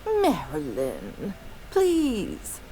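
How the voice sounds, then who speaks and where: A woman's voice: fairly low pitch, huge pitch swings, extremely slow, mellow, slight background noise, full character voice. An actress playing a prim, image-conscious middle-aged mother in a video game, genteel and dismissive. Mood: prim, pleading.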